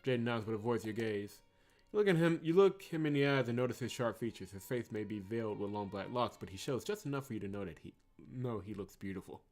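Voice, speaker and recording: A man's voice: lowish voice, animated, fast talker, a little mellow. A man hosting a game playthrough, mixing commentary and narration read aloud with wry, teasing asides. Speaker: host of a visual novel playthrough channel. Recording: music behind the voice. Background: game music.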